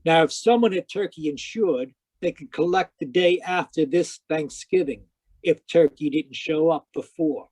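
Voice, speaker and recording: A man's voice: on the high side, normal speed, a little mellow, husky, dry recording. A man playing a sardonic, wisecracking private detective, narrating an old-time radio mystery in a wry scripted style. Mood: urgent, sardonic.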